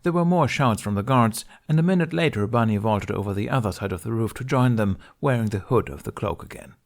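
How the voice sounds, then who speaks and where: A man reading fan fiction aloud as narrator, in an expressive scripted storytelling style. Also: on the low side, brisk, gravelly.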